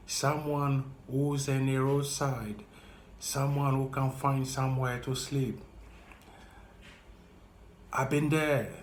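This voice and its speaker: A man with a French accent speaking earnestly and haltingly to camera as a YouTuber, repeating himself as he reaches out to viewers. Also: medium pitch, reverberant, slight background noise. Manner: slow, earnest, halting and repetitive. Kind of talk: heartfelt direct-to-camera talk.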